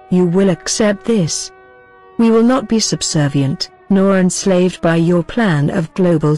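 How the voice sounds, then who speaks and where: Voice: medium pitch, a little mellow. A synthetic-sounding voice of unclear sex delivers a scripted political address in a formal, solemn, declarative manner, as spokesperson for an activist group. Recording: voice over background music.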